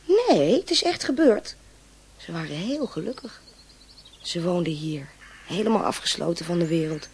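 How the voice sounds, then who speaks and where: An actress playing a dreamy, romantic young woman in love in a radio drama, wistful and often hushed and breathy. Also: lowish voice, expressive, moderate pace, full character voice. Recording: clear outdoor nature noise.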